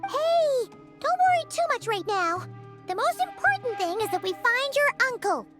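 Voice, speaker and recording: A woman voicing a tiny, cheerful, childlike creature companion in a video game, with a squeaky, reassuring manner. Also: very animated, moderate pace, a bit bright, soft background music, heavily acted. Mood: earnest reassurance. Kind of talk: video game character acting.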